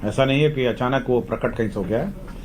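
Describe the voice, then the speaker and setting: A man speaking as a government official, explaining rules at a briefing in a halting way that often rephrases. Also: mid-range voice, rough recording. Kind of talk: explaining policy at a press briefing. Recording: faint background ambient noise.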